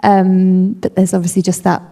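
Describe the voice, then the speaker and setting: A woman editor of translated books speaking thoughtfully on a live panel, with frequent pauses and fillers. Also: lowish voice, little pitch movement, vocal fry, mellow.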